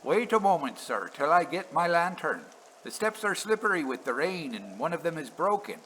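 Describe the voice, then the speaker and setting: A man's voice: on the high side, sing-song, normal speed, fairly bright tone, slight background noise, voice pushed up, poor audio. A man voicing a deferential, careful working railway signalman in an old storybook ghost tale. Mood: deferential, cautious.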